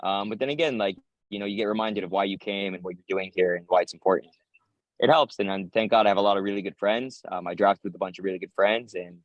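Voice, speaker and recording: Quick-talking young American man, low dry voice. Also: dry recording, dull audio. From United States. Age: 20s. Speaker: interviewee, infantry paratrooper soldier. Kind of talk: interview, casual talk.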